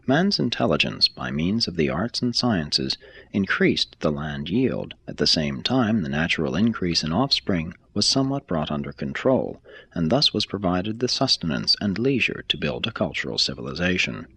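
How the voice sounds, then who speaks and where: A man reading a scripted text aloud as an audiobook narrator, with a measured, formal delivery. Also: low voice, very animated, vocal fry, pushed and bright, breathy edge, no echo. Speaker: audiobook reader.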